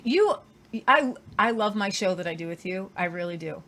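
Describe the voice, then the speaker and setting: A woman co-hosting a casual news chat, talking in lively bursts and repeating herself for emphasis. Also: mid-range voice, normal speed. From Southern Ohio. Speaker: co-host.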